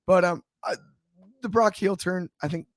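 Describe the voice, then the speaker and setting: A man with a slightly raspy voice, chatting about wrestling on a panel show in a thoughtful, halting way with many restarts. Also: high voice, no room sound. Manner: thoughtful, frequent restarts and fillers. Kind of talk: casual discussion.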